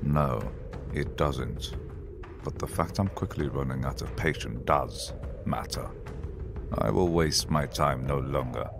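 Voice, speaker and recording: A man's voice: a bass voice, slow, gravelly, music in the background, full character voice. A man playing an imperious, impatient dragon lord who presides as judge in a fantasy audio drama, making formal commanding proclamations.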